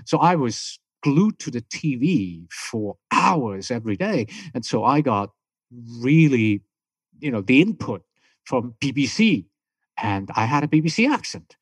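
A man's voice: medium pitch, a little slow, slightly rough, dry recording, rough recording. A middle-aged man with a mixed British and German accent, a native German speaker, tells his story in an easy, rambling way, often restarting a sentence. The recording is dry and close, with almost no room sound. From Switzerland. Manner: relaxed storytelling, frequent restarts. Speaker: podcast guest. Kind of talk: casual interview chat.

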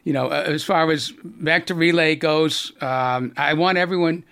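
A man's voice: fairly high pitch, steady tone, normal speed, fairly bright tone. A middle-aged man with a Boston accent, a guest on a business radio show, talking earnestly with many restarts and fillers. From Boston area, USA. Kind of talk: radio interview.

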